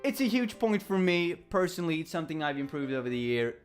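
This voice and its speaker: A man with a Swedish accent talks through his thoughts in a loose, rambling way, stopping to correct himself and leaning on ums and uhs. His voice sits a little high for a man. Soft music plays faintly underneath. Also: big pitch swings, fast. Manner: rambling, self-correcting, lots of fillers and restarts. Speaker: vlogger. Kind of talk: casual talking-head reflection.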